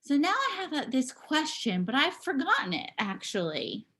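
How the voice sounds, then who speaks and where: A woman giving a live spoken interpretation of a signing performer, speaking in halting bursts with pauses and restarts, over a video call. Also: high voice, big pitch swings, pushed and bright. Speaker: sign language voice interpreter.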